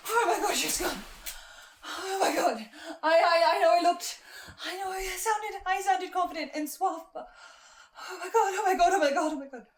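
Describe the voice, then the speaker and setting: A woman playing a composed, eerie researcher in a horror audio drama, speaking in a deliberate theatrical way. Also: extremely high pitch. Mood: panicked relief. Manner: slow, deliberate, ominous, suave.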